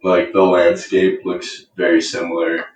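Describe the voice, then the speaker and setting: Young adult man, Canadian accent, very low voice, distant echoey recording, casual hesitant interview chat. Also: completely flat delivery, a little slow, a little hiss or hum. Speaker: podcast guest. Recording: roomy echo, distant from mic.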